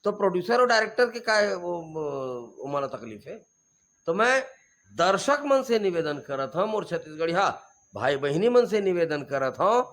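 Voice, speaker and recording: A man with a Chhattisgarhi accent, a regional activist giving fiery, emphatic interview answers and repeating slogans. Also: fairly high pitch.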